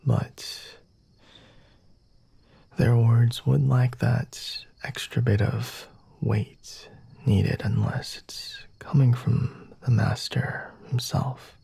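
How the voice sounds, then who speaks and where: A man voicing a flirty, refined vampire master in an ASMR roleplay, speaking in a formal, teasing, deliberate way with a husky, breathy, often whispered delivery. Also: mid-range voice, dark tone, dry recording, heavily acted. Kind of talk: ASMR roleplay, addressing the listener.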